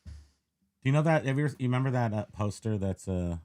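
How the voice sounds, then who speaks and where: A man's voice: mid-range voice, expressive, fast talker, a little mellow, clean tone. A man co-hosting a podcast, chatting casually on a live stream in a joking, playful way. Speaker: podcast co-host.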